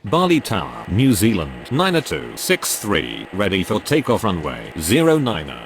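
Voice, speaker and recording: A man's voice: sits low, wildly swooping pitch, unhurried, soft background music, exaggerated voice. A man voicing a formal, businesslike airline pilot in a game, using clipped radio phraseology.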